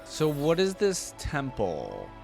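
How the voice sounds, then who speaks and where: A man's voice: a little high, wildly swooping pitch, slow, vocal fry, over music. A man giving casual, enthusiastic, slangy reaction commentary as the host of a video. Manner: informal, slangy, enthusiastic fan talk.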